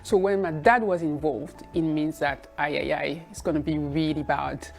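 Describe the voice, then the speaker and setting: Thoughtful woman with a lowish voice. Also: fairly even intonation, moderate pace, vocal fry, a little mellow, soft background music. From Burkina Faso, raised in Belgium. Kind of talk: personal account in interview.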